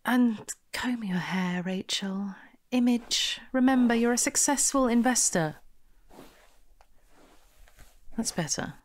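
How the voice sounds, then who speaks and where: A woman playing a smooth, bossy con artist with a brisk, persuasive manner in an audio drama. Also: a little high, natural voice. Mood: brisk, impatient. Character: smooth, bossy female con artist posing as investment promoter.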